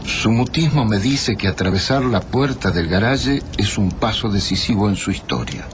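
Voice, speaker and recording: An actor playing a pensive writer who narrates, gravely and reflectively, a stranger's tale in a radio drama. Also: male voice, lowish voice, moderate pace, a little hiss or hum, lightly acted. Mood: pensive suspense. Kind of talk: literary scripted radio narration.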